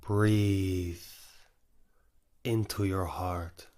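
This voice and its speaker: A male meditation guide speaks extremely slowly in a low register. His delivery is flat and steady, with short instructive phrases set apart by long pauses.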